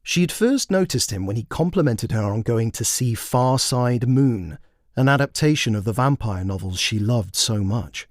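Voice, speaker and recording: Male storyteller, lively varied pitch, scripted fiction narration, close dry recording. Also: normal speed, no echo. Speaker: author and narrator.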